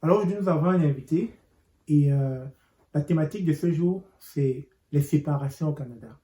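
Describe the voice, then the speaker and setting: A male interviewer with a mellow, somewhat high voice asks measured, inviting questions. His voice carries a noticeable roomy echo. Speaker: interviewer host.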